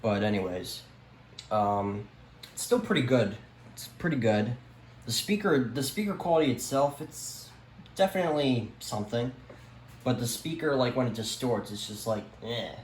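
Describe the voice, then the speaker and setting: A man's voice: mid-range voice, animated, moderate pace. A man giving a casual, unscripted show-and-tell as a collector, rambling hesitantly and often trailing off. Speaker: radio collector presenting their collection. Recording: roomy echo, light background noise.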